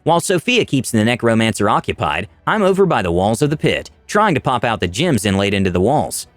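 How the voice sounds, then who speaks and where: A man's voice: medium pitch, sing-song, a little fast, no room sound. A man narrating a scripted fantasy adventure story in the first person, with dramatic delivery. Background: music.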